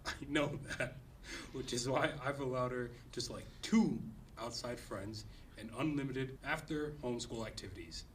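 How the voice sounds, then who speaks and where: A man's voice: mid-range voice, normal speed, natural voice. A man voicing a smug, controlling manager of superhero girls in a comedic table read. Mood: condescending. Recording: slightly distant mic, faint noise.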